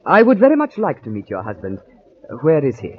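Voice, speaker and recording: An actor playing an earnest, curious young naval lieutenant, polite, formal and a little hesitant, in a radio drama. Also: male voice, fairly high pitch, wildly swooping pitch, brisk. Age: young adult. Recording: tinny, noisy old radio recording.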